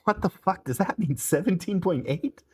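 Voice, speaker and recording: A male narrator acts out a flustered audiobook hothead in a raised, husky voice. The character is exasperated and foul-mouthed, blurting protests. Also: sits high, expressive, normal speed, mellow, voice pushed up. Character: flustered, foul-mouthed, hot-headed friend.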